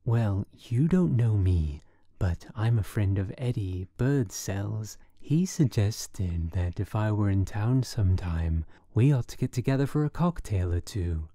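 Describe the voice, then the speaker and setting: A woman's voice: a bass voice, expressive, a little slow, dark tone, no room sound, clean studio sound, heavily acted. A woman voicing a young man in an audiobook who feigns a suave, mature, polite manner. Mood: suave, anxious.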